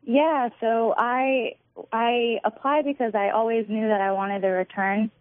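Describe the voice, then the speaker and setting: A woman's voice: fairly high pitch, fairly even intonation, measured pace, dry recording. Woman, 20s, from Northern California, earnest, friendly, phone-line sound, dry. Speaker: guest. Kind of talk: interview answers.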